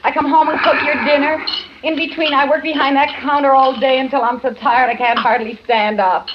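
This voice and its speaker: Woman acting high-voiced, nagging, fed-up wife. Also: level tone, fairly quick, close to an everyday voice. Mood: scolding. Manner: scolding, sarcastic, accusatory. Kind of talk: 1940s radio thriller acting. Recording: muffled, noisy old radio recording.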